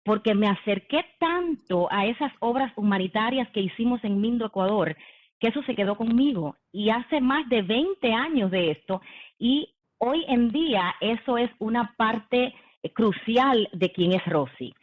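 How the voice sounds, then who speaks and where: A woman's voice: on the high side, expressive, a little slow. Dominican-born woman in her forties. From Dominican Republic. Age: 40s. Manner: warm conversational, frequent "em" and "eh" fillers. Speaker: company executive giving a leadership talk. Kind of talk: personal storytelling talk. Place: video call. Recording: phone-like online meeting audio.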